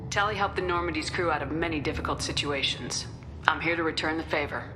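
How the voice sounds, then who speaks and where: A woman's voice: low voice, fast talker, natural voice. A woman playing a firm, loyal starship commander in her thirties in a video game, direct and composed. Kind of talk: video game cutscene acting. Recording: noisy ambience behind.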